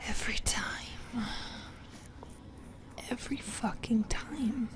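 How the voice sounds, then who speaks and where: Woman whispering as a slow, sinister female demon. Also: fairly high pitch. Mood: fascinated, possessive. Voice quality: breathy, unvoiced whisper. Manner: slow, intimate, menacingly tender. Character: sinister, possessive female sleep paralysis demon, teasing and soothing. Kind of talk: whispered ASMR horror roleplay.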